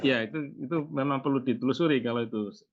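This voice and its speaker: A man's voice: mid-range voice, rapid-fire. A man who is a professor, chairing an online meeting, hesitant and asking many questions over a video call. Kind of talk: casual online meeting discussion. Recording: muffled noisy call audio.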